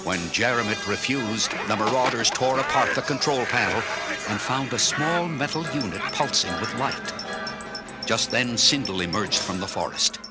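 A man's voice: mid-range voice, moderate pace, loud music behind the voice. A man narrating a children's story in a scripted, dramatic style.

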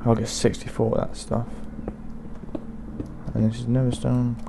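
A young man casually talking through a video game, mumbling to himself in an unhurried way, his throat bothering him. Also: mid-range voice, completely flat delivery, creaky, noisy background. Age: young adult. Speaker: gaming channel host. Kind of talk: casual let's play commentary.

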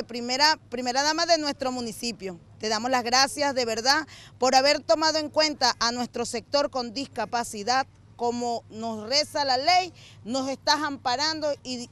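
A woman's voice: sits high, fairly bright tone. A middle-aged Venezuelan woman hosting community TV, interviewing people outdoors on a street with a handheld mic, effusive and fervent. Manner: effusive, fervent, repeats herself. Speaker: community TV host.

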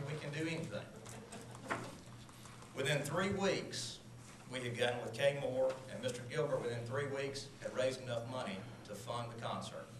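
A man standing at a podium in a large council chamber, giving a formal address full of thanks and named acknowledgements. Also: medium pitch. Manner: earnest, lists names and thanks. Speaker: public speaker at city council. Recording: roomy hall sound with noise.

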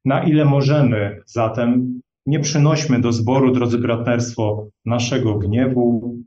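A man giving a sermon to his congregation, in an earnest, measured way, often calling them brethren. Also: mid-range voice, fairly even intonation, mellow. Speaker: lay preacher. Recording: dull lo-fi audio in an echoey hall, mic at a distance.

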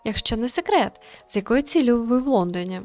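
Composed young female medium for a story game, measured and courteous, higher voice acted by a woman. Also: faint music behind, close to an everyday voice. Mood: calm, polite. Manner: calm, polite, measured.

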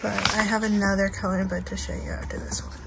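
A young woman hosting a casual, soft-spoken flip-through at home, talking gently and relaxed with lots of "like". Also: mid-range voice, quick pace, gravelly, very noisy. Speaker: channel host. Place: indoors at home, over a bed.

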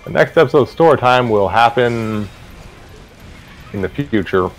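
A man co-hosting a show in a deadpan manner, mixing scripted announcements with casual chat. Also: medium pitch, normal speed, soft background music. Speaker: co-host of a story-reading show.

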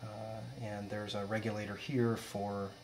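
Young male student presenter, even monotone, informal and explanatory, faint mains hum behind. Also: lowish voice, unhurried, poor audio. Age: young adult. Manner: matter-of-fact, explanatory. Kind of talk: informal demonstration narration. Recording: steady mains hum, background noise.

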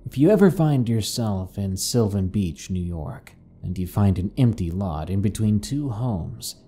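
A man reading scripted horror stories as a narrator, in a deliberate, grave manner. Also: on the low side, animated, dark tone, a little hiss or hum. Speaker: narrator and host.

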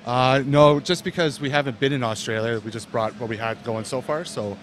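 Fast-talking man at a noisy expo booth. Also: medium pitch. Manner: relaxed, loose, many fillers. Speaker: company representative. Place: indoor expo hall, busy. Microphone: handheld mic held by interviewer.

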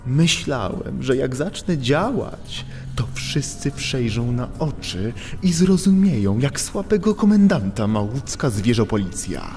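A cocky animal master thief in a children's audio drama. He is smug and mocking, stretching words slowly with lively swoops. His voice rings in a long reverb over music. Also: mid-range voice. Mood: smug, taunting. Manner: smug, mocking, drawn-out theatrical delivery.

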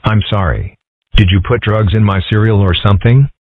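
Casting: man as sensible cartoon fox lad, dry delivery, low husky voice, phone-like sound. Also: normal speed, dry recording. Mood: puzzled. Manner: dry, deadpan. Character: sensible, deadpan young fox boy. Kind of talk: comic strip dub. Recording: muffled narrow phone-like sound.

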